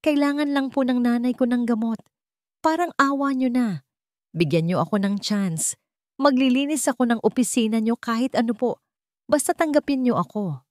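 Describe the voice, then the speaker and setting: A woman's voice: fairly high pitch, lively intonation, moderate pace, dry recording, clean studio sound, pitched above the performer's own voice, lightly acted. A woman voicing a humble, desperate young male job applicant in a story, meek and trembling, with a Filipino accent. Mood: desperate pleading.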